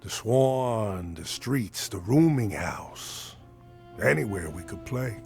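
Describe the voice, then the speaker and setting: A man's voice: fairly low pitch, animated, slow, gravelly, darkish tone, slightly rough, soft background music, full character voice. An actor playing an earnest, chipper male musician in a narrative game, speaking in a hesitant, folksy way. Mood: wistful, subdued. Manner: hesitant, folksy, mild exclamations. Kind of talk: voiced dialogue in a narrative RPG.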